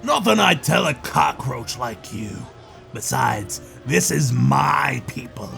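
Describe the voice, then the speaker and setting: A man voicing an arrogant, greedy goblin trade prince with a gravelly, sharp voice and a blustering, insulting manner in a fan audio drama. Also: medium pitch, animated, takes it slowly, soft background music, heavily acted. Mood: defiant scorn.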